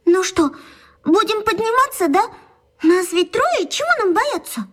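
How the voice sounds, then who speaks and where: A woman's voice: very high-pitched, lively intonation, unhurried. A nervous little schoolgirl. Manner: anxious, pleading. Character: timid schoolgirl, nervous and plucky. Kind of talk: radio play acting.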